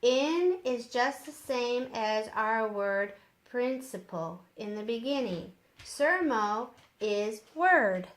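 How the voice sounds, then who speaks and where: A woman's voice: fairly high pitch, animated, takes it slowly. A woman voicing an earnest, polite young boy who is keen to learn, in a children's storybook. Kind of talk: children's storybook read-aloud.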